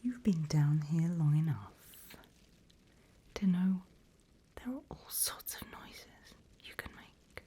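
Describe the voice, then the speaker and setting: A woman voicing a seasoned, smug and teasing demon mentor in a breathy, theatrical ASMR whisper. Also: low voice, animated, warm tone, a little hiss or hum. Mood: instructive, menacing. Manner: slow, drawn-out, theatrical.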